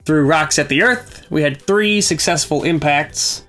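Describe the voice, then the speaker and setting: A man's voice: a little high, measured pace, pushed and bright, soft background music. A man with a scratchy voice from a cold, hosting a casual reaction chat and recapping plot at length. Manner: chatty, explains plot at length. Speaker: reaction show host.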